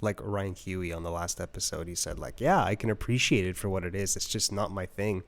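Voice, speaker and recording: A man's voice: sits low, a little fast. A man hosting a podcast, chatting casually and thinking aloud in a conversational way. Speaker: podcast host and interviewer. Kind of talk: casual review chat.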